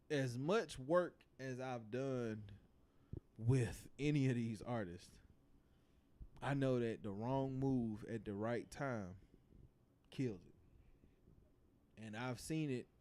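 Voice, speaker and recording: A man's voice: medium pitch, slow, pauses often, mellow. Southern man hosting casual talk. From South Carolina, United States. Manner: slangy, swearing freely, leading the discussion with questions. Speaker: livestream talk show host. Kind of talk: casual podcast discussion.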